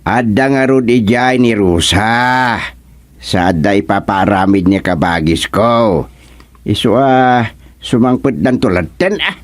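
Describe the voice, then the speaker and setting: A man's voice: lowish voice, animated, a little slow. A man with an Ilocano accent playing a chatty, folksy village neighbour in a radio comedy drama. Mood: gossipy.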